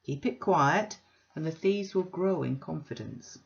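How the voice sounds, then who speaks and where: Blustering self-made man, bragging and blunt, put on by a woman reader, gravelly edge, muffled audio. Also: low-pitched, animated, a little slow, full character voice. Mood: boastful. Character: blustering boastful self-made businessman, male, middle-aged. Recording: dull lo-fi recording.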